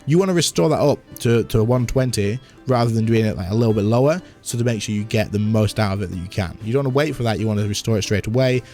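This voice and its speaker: A man with a slightly hoarse voice, giving a casual game tutorial and chatting as he demonstrates on screen. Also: on the low side, quick pace, hardly any pauses, dark tone, soft background music. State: slightly hoarse, losing his voice. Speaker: gaming content creator.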